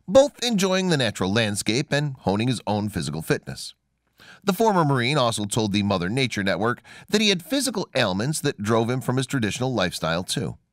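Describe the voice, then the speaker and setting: A man's voice: medium pitch, a bit bright, dry recording, clean studio sound. A man reading scripted narration in the style of a documentary.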